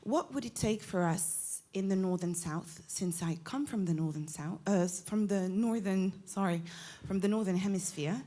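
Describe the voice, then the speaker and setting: A woman's voice: mid-range voice, moderate pace, few pauses, dark tone. A young woman from Belgium asking a conference panel a formal, targeted question. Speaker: program participant asking a question. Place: conference hall.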